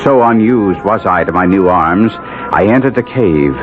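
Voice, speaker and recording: An actor playing an anguished nobleman trapped in a monster's body, narrating theatrically and deliberately in a 1970s radio drama. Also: sits low, animated, over loud music. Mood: brooding. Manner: theatrical, florid, deliberate storytelling. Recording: lo-fi phone-like vintage radio broadcast.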